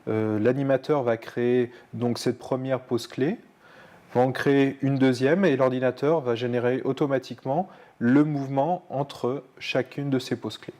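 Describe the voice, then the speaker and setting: A man's voice: medium pitch, steady tone, moderate pace, a little mellow. A man working as an animator, explaining his work plainly with some fillers. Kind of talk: explanatory interview.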